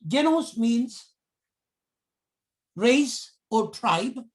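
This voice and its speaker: A middle-aged man from Sri Lanka with a Sri Lankan accent, giving a prepared public talk in slow, deliberate phrases. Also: very high voice, expressive. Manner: slow, deliberate, measured phrasing in short pieces. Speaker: commentator.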